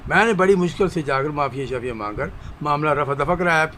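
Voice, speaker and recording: An exasperated father in a radio drama, scolding with emphasis. His voice sits high and sounds sharp and forceful, over some background noise. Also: expressive, quick pace. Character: exasperated, scolding shopkeeper father of a truant boy. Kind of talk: Urdu radio drama acting.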